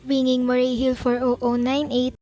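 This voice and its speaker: A woman playing a polite, unyielding telephone operator in a radio play, speaking in a formal, measured manner. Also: high-pitched, monotone, lightly acted. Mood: detached. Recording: clearly noisy amateur recording.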